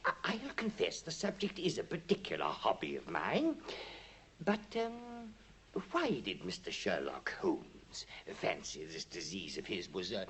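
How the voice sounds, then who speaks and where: A sneaky little british planter in old radio drama, oily, voice swoops all over, slight hiss. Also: male voice, sits low, wildly swooping pitch, unhurried, fairly bright tone, heavily acted. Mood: smooth, insincere. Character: small, sly, suspicious male planter and amateur disease expert. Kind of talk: 1950s radio drama acting. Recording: noisy 1950s radio recording.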